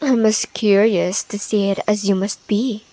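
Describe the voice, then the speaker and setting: A woman's voice: mid-range voice, steady tone, a little slow, dark tone, no room sound, lightly acted, rough recording. A woman voicing a possessive, coolly amused predatory monster in a fantasy audio roleplay, composed and gloating.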